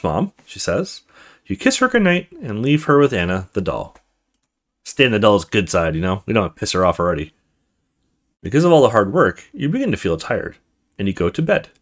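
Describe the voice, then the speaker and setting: A man hosting a let's play, reading a horror visual novel aloud in a dramatic storytelling way with casual asides. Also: lowish voice.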